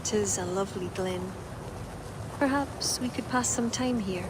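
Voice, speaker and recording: With clear ambient noise behind, an actress plays a solemn druid woman in a game drama. She speaks at a medium pitch, earnest and measured, with regret in her lyrical phrasing. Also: close to an everyday voice. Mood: tender. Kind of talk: dramatic video game acting.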